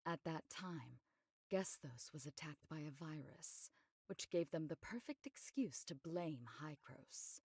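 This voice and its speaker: A composed, discreet woman investigator from an anime game. Her voice sits slightly low with a clear fry in it. She briefs in a measured, matter-of-fact way, recorded close and dry. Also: animated, darkish tone, dry recording, exaggerated voice. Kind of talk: scripted anime game voice acting.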